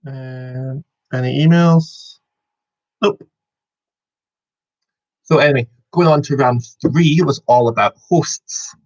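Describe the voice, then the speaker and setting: A man's voice: medium pitch, slow pace, long pauses, darkish tone, muffled recording. A young Scottish man in his twenties hosting a casual online quiz over a video call, rambling and correcting himself with a joking tone. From Scotland. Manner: rambling, self-correcting, jokey. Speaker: quiz host.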